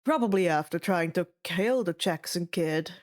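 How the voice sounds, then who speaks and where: A woman playing a jaded, sardonic male god in an audiobook, with a deadpan drawl. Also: on the low side, normal speed, voice pushed down, close to an everyday voice. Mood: drawling, flat.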